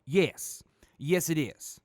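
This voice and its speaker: A dutiful mercenary major in a radio drama. He is a man with a fairly high voice who answers slowly and carefully, in short formal phrases. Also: expressive, darkish tone, dry recording, clean studio sound. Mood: reluctant, formal. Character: guarded, dutiful male mercenary major on trial. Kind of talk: audio drama acting.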